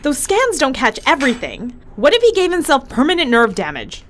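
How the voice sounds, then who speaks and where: A woman's voice: sits high, huge pitch swings, fairly quick, soft background music. A woman acting in an audio drama as a brash, irritable, foul-mouthed ship medic with a blunt, sarcastic, defensive manner. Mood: angry, exasperated.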